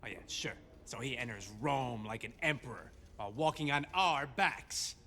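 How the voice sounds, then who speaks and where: A man's voice: mid-range voice, expressive, normal speed, pushed and bright, slight background noise. A man playing a bitter, ambitious army colonel in a video game cutscene, griping with sarcasm. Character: bitter, ambitious American army colonel, resentful of his superior.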